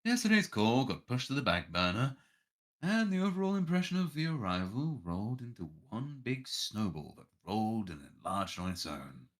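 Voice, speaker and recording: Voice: mid-range voice, very animated, normal speed. A streamer reading a visual novel's narration aloud in a dramatic way.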